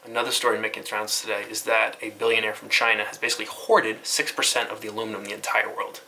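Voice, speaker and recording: A man's voice: on the low side, little pitch movement, quick pace, vocal fry, sharp tone, poor audio. A man hosting a casual web news recap in an informal, offhand way, with some light noise in the recording. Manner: informal, offhand, occasionally swearing. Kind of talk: casual internet news recap, loosely scripted commentary.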